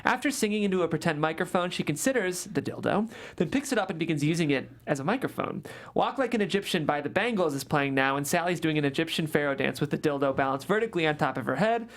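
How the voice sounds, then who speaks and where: A woman reading a script's stage directions in a brisk, matter-of-fact way. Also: sits low, animated. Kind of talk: scripted table read narration.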